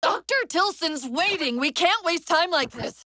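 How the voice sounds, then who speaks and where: A woman playing a brisk, wry, urgent military AI companion in a video game, giving crisp commands and tactical briefings. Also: very high-pitched, lively intonation, sharp tone, dry recording, clean studio sound, full character voice. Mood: urgent, alarmed.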